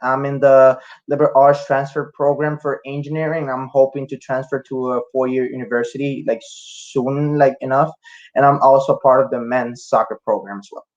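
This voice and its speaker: A man's voice: mid-range voice, little pitch movement, normal speed, darkish tone, dull audio. A young man from Rica, a student answering interview questions with frequent fillers and restarts. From Costa Rica. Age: young adult. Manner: hesitant, many fillers and restarts. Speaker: student guest.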